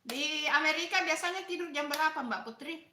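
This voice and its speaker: A woman's voice: sits high, a little fast, bright, forceful tone, reverberant, slight background noise. A middle-aged woman with a Makassar Indonesian accent, chatting casually with live viewers while she eats, asking them questions and answering their comments. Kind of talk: casual live-stream chat while eating.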